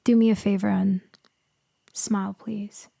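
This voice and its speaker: Casting: woman as tender vampire rescuer for ASMR roleplay, soft whispery delivery, unhurried, very even pitch. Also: no echo. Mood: gentle, explanatory. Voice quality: soft and breathy, often dropping to a whisper. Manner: tender, slow, reassuring, hesitant pauses. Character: gentle female vampire soothing someone she saved. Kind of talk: intimate ASMR roleplay acting.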